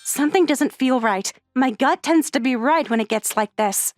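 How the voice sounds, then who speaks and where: A woman voicing an earnest, worried high school girl in an anime-style comic dub, with expressive delivery. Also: moderate pace, no echo, faint music behind, natural voice.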